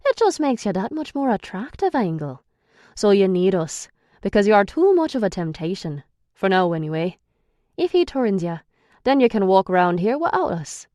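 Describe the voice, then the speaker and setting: A gruff, sarcastic young man who guards a captive and has no patience for her, as a woman narrator puts him on. His lines come out raised, pushed and scolding, higher than her own reading voice, recorded close and dry. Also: very animated, normal speed, dark tone, dry recording, close to an everyday voice. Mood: blunt. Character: irritable sarcastic young male captor.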